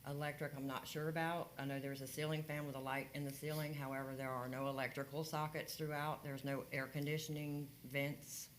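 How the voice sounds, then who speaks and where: A man's voice: fairly high pitch, flat delivery, brisk, hardly any pauses, fairly bright tone, a little hiss or hum. A man working as a code enforcement officer, reporting his findings at a county hearing in a plain, matter-of-fact way with frequent fillers.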